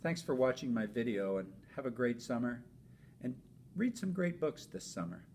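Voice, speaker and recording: A man who teaches elementary school reads a children's storybook aloud in an expressive, emphatic way. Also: moderate pace. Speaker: fifth grade teacher reading aloud. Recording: noisy with low rumble.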